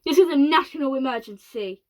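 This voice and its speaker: A woman voicing a rude, rasping male president who snaps and barks at people. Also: sits high, lively intonation, brisk, voice pushed up. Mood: irate. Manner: snappish, barking.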